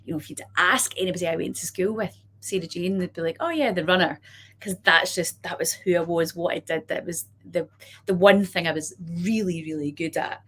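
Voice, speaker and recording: A woman in her forties speaks straight to camera in a personal video diary. Her voice sits low for a woman. She talks in a chatty, loose way, full of fillers and restarts. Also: brisk, a bit bright, breathy edge. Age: 40s. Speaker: podiatrist and clinic director.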